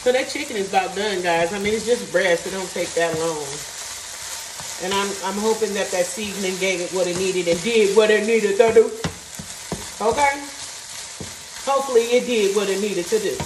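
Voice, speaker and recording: A woman's voice: mid-range voice, moderate pace. Chatty woman cooking in an echoey kitchen. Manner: chatty, thinks aloud, reads recipe steps. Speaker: cooking vlogger. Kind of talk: casual cooking vlog chat. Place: home kitchen. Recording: echoey kitchen, mic at a distance, frying noise. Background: sizzling and stirring in a pan.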